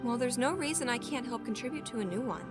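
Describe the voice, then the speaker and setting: A young woman played by an actress with a fairly high voice, full of idealism. She speaks tenderly and earnestly, with playful teasing, in an audio drama set over prominent music. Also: animated, fast talker, natural voice. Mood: hopeful, earnest. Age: young adult.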